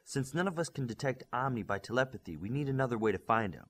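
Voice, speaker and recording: A man's voice: mid-range voice, lively intonation, quick pace, a little hiss or hum, close to an everyday voice. Man voicing a composed, authoritative superhero woman. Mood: explaining. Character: composed, forceful female superhero with telepathy.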